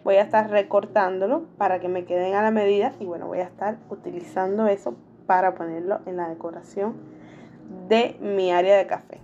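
A young adult woman narrating her home decor vlog in a chatty, relaxed way. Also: mid-range voice, a little fast, lo-fi sound, a little hiss or hum. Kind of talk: casual voice-over vlog narration. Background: soft background music.

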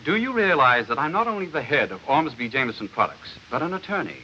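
A middle-aged actor playing an indignant, domineering company boss and lawyer in a 1940s comedy film. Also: male voice, medium pitch, very animated, quick pace, pushed and bright. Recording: muffled 1940s film soundtrack, noisy hiss.

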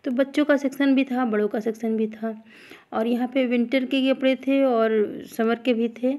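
Mellow, high-voiced woman vlogger. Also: fairly even intonation, normal speed. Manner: chatty, repetitive, addresses viewers directly. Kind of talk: casual vlog voice-over narration.

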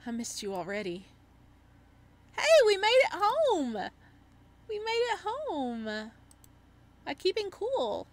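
A woman giving casual let's-play commentary and reading game text aloud, with an excitable, reactive manner. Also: extremely high pitch, slow, pauses often, no room sound. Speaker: gaming streamer.

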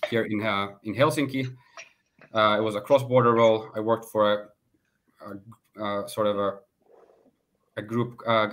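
A man in his thirties from Finland, a guest on a video call interview, speaking in a measured way with filler pauses. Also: lowish voice. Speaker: guest, sports consultant. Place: home room, indoors. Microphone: laptop webcam on video call.

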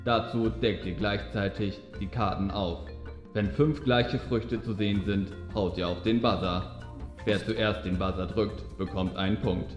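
A man acting as a solemn, formal camp announcer on a game show. He delivers orders slowly in a lowish voice, with music behind him. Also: fairly even intonation, lightly acted. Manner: deliberate, formal. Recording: echoing voice over loud music.

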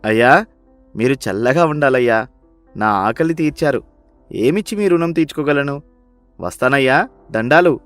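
A man's voice: mid-range voice, lively intonation, normal speed, long pauses, fairly bright tone, no room sound, faint music behind, natural voice. A man playing a humble, hungry beggar in a story, speaking in a pleading, deferential way. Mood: grateful.

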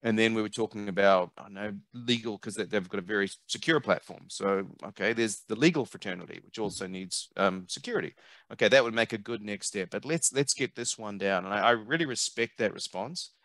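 A middle-aged man with a New Zealand accent, a marketing consultant speaking as an interview guest over a headset on a video call, animated and emphatic, often repeating words as he thinks. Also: on the low side, fairly quick, dry recording. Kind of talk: conversational interview. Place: home office, video call. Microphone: headset mic.

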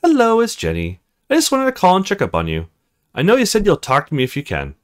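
A man voicing a small, timid, earnest young woman from a visual novel in a gentle, apologetic manner. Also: high-pitched, huge pitch swings, quick pace, clear, resonant tone, voice pushed up. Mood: gentle concern.

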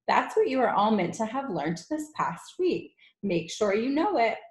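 A woman voicing a gentle but quietly firm female schoolteacher in a children's audiobook, polite and earnest. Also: a little high, sing-song, a little slow, echoey room, pitched above the performer's own voice. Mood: cautioning.

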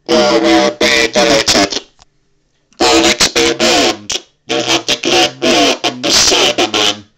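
Menacing robot soldier, electronic effect, very gravelly, slow, buzzy small speaker. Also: male voice, medium pitch, expressive, over loud music, exaggerated voice, low-quality recording. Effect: electronic robot voice changer through small speaker.

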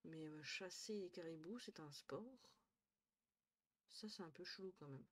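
A young woman streaming from a small room at home, talking into her headset. She is tired and chatty, thinks out loud, swears lightly and often trails off into low murmurs. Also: measured pace. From northern France. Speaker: Twitch streamer. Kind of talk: casual live-stream chat with viewers.

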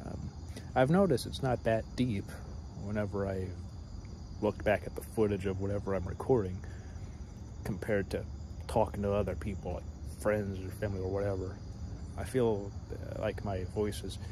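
Man in his thirties, low voice, halting vlog ramble, night crickets loud in the background. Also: fairly even intonation. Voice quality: fairly deep voice. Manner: hesitant, full of fillers and trailing thoughts. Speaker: vlogger. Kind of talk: rambling casual monologue. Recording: loud cricket noise behind voice. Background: crickets and insects at night.

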